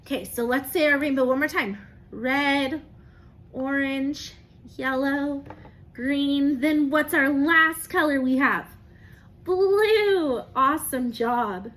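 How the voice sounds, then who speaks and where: A woman's voice: sits high, takes it slowly, fairly bright tone. A woman teaches young children step by step, asking questions and encouraging them as she goes. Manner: encouraging, asks questions and praises listeners as if they answer; simple step-by-step explaining. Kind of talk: interactive children's lesson, talking to camera.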